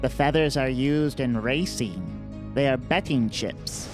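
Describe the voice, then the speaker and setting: A man voicing a small feathered dragon in a tabletop game, deadpan and matter-of-fact, over music. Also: medium pitch, close to an everyday voice. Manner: deadpan, slow, measured. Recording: loud music under the voice.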